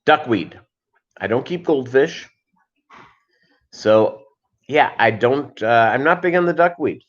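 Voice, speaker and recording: A dull, lo-fi livestream recording of a man with a mid-pitched voice. He hosts a casual chat, rambling from one thought to the next and tossing in deadpan, joking asides. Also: takes it slowly. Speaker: host of a live fishkeeping chat show.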